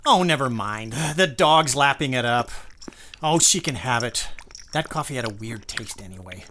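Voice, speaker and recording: A man's voice: mid-range voice, animated, normal speed, sharp tone, slight background noise. A man playing an exhausted, anxious married insurance salesman in a radio play, flustered and stammering. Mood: irritated. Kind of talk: mid-century radio play acting.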